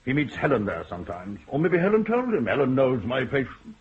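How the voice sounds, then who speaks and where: A stubborn widowed country doctor. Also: male voice, mid-range voice, wildly swooping pitch, slightly rough, heavily acted. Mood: guarded. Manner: measured, authoritative, hospitable but insistent. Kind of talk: 1960s radio drama acting. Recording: muffled old radio broadcast, faint hiss.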